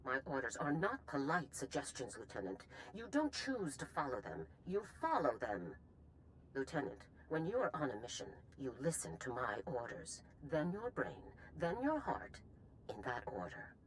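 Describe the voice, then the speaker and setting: A stern middle-aged general from a video game. She is clipped and commanding, with strongly varied pitch. A faint rumble of noise sits behind her. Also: sing-song, moderate pace, poor audio. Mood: stern rebuke. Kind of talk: scripted video game acting.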